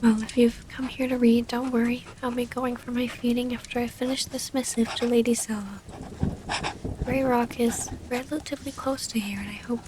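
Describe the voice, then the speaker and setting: A woman playing a gentle, formal vampire noblewoman and wounded lover in an intimate ASMR roleplay, speaking in a soft, breathy half-whisper. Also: a little high, level tone, moderate pace, noisy background, natural voice. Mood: gentle, reserved.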